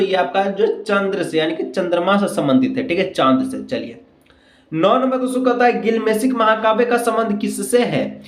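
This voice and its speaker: A man teaching exam questions and answers, repeating himself and asking rhetorical questions as he goes. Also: high-pitched. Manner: repetitive, frequent check phrases and rhetorical questions. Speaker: teacher, exam-prep video host. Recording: echoey room sound.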